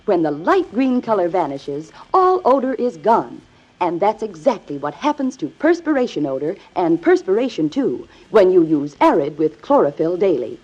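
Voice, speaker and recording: A woman announcer giving an emphatic, instructional scripted sales pitch in 1950s radio advertising style. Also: darkish tone, slightly rough. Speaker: commercial announcer. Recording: dull, lo-fi old radio recording.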